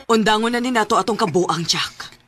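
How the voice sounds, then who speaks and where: A woman's voice: mid-range voice, brisk, over loud music, close to an everyday voice. An actress playing a remorseful, middle-aged married woman in an emotional, pleading radio drama style. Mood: uneasy, guilty.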